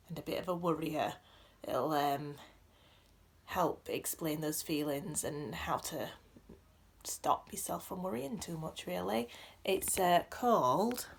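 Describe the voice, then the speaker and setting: A woman reading a children's picture book aloud with gentle, expressive storytelling emphasis. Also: sits low, vocal fry, slight background noise. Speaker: storybook reader.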